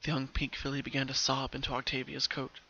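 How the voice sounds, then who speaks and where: A man's voice: medium pitch, completely flat delivery, fast talker, pushed and bright, lo-fi sound. A man reading a scripted story aloud as its narrator, in a dramatic storytelling style.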